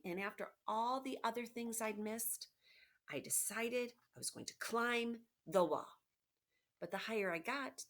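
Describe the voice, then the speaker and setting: A woman reading the part of an earnest, determined storybook egg telling his own story, with deliberate, emphatic phrasing. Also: lowish voice, fairly bright tone, full character voice. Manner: deliberate, emphatic storybook delivery with drawn-out key words.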